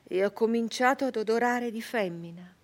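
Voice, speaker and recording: Intense, high-voiced brigand woman played by an actress. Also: close to an everyday voice. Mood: wounded, subdued. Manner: halting, repetitive, intense delivery. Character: haunted, defiant young peasant woman turned brigand. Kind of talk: dramatic radio monologue.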